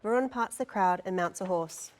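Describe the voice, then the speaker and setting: A woman's voice: mid-range voice, normal speed. A woman narrator reading stage directions and scene descriptions at a table read.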